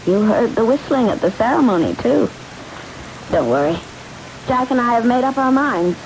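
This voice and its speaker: An actress playing a steady, resolute young bride in an old-time radio ghost drama. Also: female voice, a little high, moderate pace, slight background noise, rough recording. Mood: composed.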